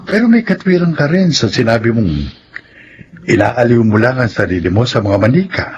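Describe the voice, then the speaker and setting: An actor in a Filipino radio drama playing a reasonable, reassuring psychiatrist advising a couple. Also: male voice, mid-range voice, lively intonation, moderate pace, darkish tone, slightly rough. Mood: measured, reasoning. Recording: dull sound with faint noise.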